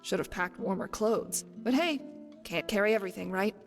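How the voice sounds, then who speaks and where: A woman's voice: mid-range voice, normal speed, lightly acted. A woman voicing a guarded, breezy desert-born warrior woman in a video game, talking in a wry, casual way. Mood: evasive, casual. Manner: wry, casual, brush-off banter. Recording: long reverb, music behind. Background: game music.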